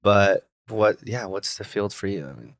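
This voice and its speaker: A man co-hosting a podcast, talking casually and a little haltingly as he works out his thoughts. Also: on the low side, dry recording. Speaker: podcast co-host. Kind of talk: casual conversational podcast chat.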